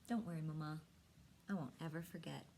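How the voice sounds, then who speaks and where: A woman reading a storybook aloud expressively, with dramatic pauses and hushed emphasis. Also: deep voice, creaky, slight background noise. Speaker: storybook reader.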